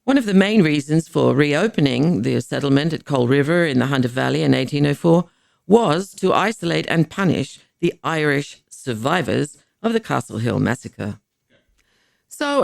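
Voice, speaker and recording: A woman reading a scripted history podcast as host, in a measured, explanatory way, posing questions to the listener. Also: low voice, creaky, darkish tone, no room sound, studio quality.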